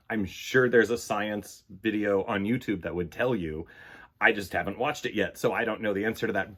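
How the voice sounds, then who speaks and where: A man presenting children's storytime, reading and chatting in a playful, expressive way. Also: mid-range voice. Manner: playful, expressive, dramatic shifts in volume. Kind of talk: animated picture-book read-aloud and casual chat.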